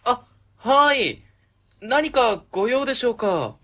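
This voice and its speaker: Casting: male actor for courteous, eager junior civil servant, high animated voice, radio drama. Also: slow, exaggerated voice. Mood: polite, helpful. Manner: courteous, helpful. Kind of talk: Japanese web radio drama acting.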